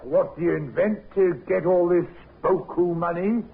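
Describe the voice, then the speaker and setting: An actor playing a suspicious, grumbling innkeeper, blunt and colloquial, in a 1950s radio drama. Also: male voice, sits high, steady tone, moderate pace, vocal fry, breathy edge, heavily acted. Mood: skeptical, pressing. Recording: muffled, hissy old radio recording.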